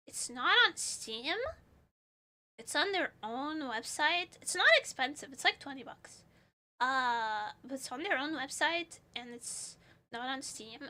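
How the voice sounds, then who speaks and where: A woman's voice: sits high, unhurried, sharp tone. A woman from Portugal, chatting casually with her live chat as a streamer, giggly and rambling.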